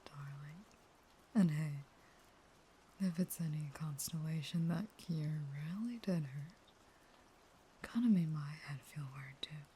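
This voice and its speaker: A low, slow, breathy vampire wife, acted by a woman in an intimate audio roleplay with faint noise behind her. She is starving and feral underneath, yet pleads in halting whispers, manipulative and unstable. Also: mellow. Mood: taunting, bitter. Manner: halting, stammering pleas in whispers.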